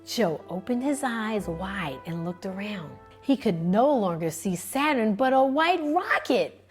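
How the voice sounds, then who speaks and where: A woman librarian reading a children's book aloud, gentle and expressive in her storytelling. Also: medium pitch, measured pace, soft background music.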